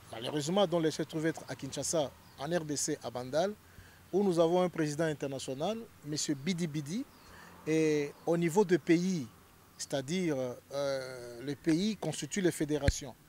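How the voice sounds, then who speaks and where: A man's voice: on the high side, moderate pace, darkish tone, a little hiss or hum. A man from Congo, a lawyer, explaining his association in an interview, hesitant with many fillers and growing more emphatic.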